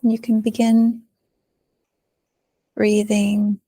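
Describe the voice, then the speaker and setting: A woman leading a guided meditation in a soothing manner, pausing often, with soft phrase endings. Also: mid-range voice, monotone, no room sound. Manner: slow, soothing delivery with long pauses.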